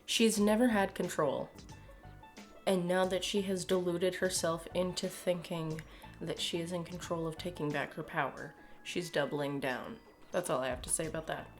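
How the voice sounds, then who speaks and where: A woman's voice: lowish voice, fairly even intonation, moderate pace, faint music behind. A young adult woman with a Canadian accent giving casual, emphatic opinion commentary to camera in a sardonic, exasperated way. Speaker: video commentator. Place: small home room, indoors.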